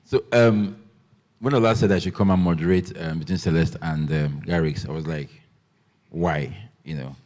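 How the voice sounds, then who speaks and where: A man moderating a literary festival panel, relaxed and joking with lots of ums. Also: low voice, moderate pace, big-room sound. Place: festival event hall.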